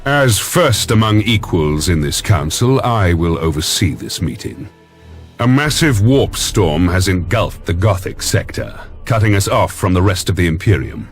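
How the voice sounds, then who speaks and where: A commanding Lord Admiral of a space navy in a game cutscene. The voice is deep and grave, with a measured, formal delivery. Faint music runs underneath. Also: male voice, sing-song. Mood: grave, authoritative. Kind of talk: dramatic sci-fi game cutscene acting.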